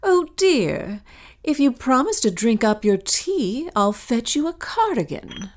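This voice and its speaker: Scheming governess: prim, cooing, falsely sweet, unhurried, very animated intonation, melodrama style. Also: female voice, on the high side, a little hiss or hum. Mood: cloying. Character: scheming governess feigning sweetness toward her charges. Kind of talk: melodramatic audio drama acting.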